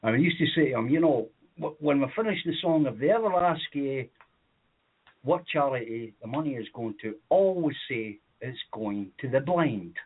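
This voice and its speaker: A man's voice: mid-range voice, animated, normal speed, breathy edge. A Scottish man co-hosting a comedy radio show, telling long rambling childhood stories with lots of fillers and chuckles. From Scotland. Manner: rambling anecdotes with many fillers, laughs at own stories. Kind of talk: comedic storytelling on a podcast. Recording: tinny phone-like audio.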